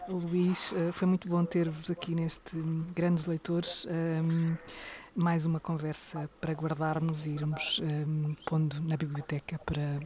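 A woman hosting a book podcast, speaking with a European Portuguese accent. Her voice sits very low and stays level, with little rise and fall. She affirms her guests and thanks them as she wraps up. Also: monotone, a little slow, darkish tone, slight background noise. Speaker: podcast host. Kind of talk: literary conversation.

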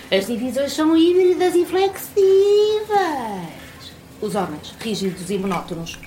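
High, swooping tipsy architect. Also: female voice, a little mellow, a little hiss or hum. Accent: European Portuguese. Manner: theatrical, effusive. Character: tipsy, flamboyant woman architect, opinionated and dramatic. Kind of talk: theatrical radio soap acting.

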